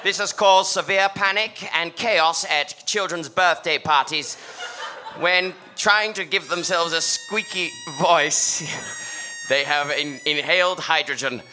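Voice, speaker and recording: A man's voice: fairly high pitch, slow, pushed and bright, full character voice, poor audio. A man playing a mock-serious news presenter, formal but giggling.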